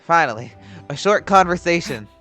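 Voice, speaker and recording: A woman's voice: on the low side, lively intonation, moderate pace, fairly bright tone, faint music behind. A woman giving casual, off-the-cuff reactions as she plays through a video game. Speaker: game streamer reacting to a story quest. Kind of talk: casual playthrough commentary.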